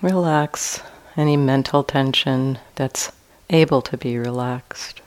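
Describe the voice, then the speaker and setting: A woman teaching a guided meditation in a calm, gentle manner with long pauses between phrases. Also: rumbling low.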